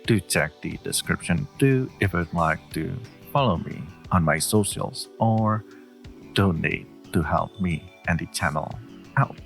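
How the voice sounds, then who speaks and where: A man's voice: sits low, measured pace, vocal fry, fairly bright tone, faint music behind. A man speaking as a channel host, addressing his listeners in an outro.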